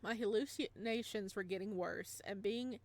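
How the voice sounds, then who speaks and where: A woman game streamer doing playthrough commentary and reading narration aloud in an expressive, reactive way. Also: mid-range voice, normal speed.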